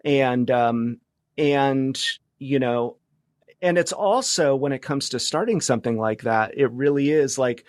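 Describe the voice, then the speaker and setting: A man speaking as an interview guest in casual conversation, reflective and halting, often restarting his thoughts. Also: mid-range voice, a little mellow, no room sound, studio quality. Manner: halting, reflective, many false starts. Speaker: podcast guest, media founder and journalist.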